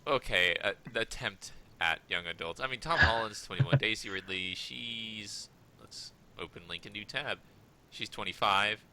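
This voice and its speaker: A man's voice: lowish voice, normal speed, sharp tone. A man co-hosting a podcast in casual chat, loosely correcting himself as he goes. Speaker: podcast co-host.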